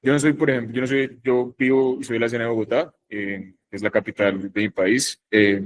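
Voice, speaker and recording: A young man from northern Colombia with a Colombian accent, speaking reflectively and haltingly on a discussion panel, with many pauses and restarts. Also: mid-range voice, steady tone, poor audio. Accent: Colombian Spanish.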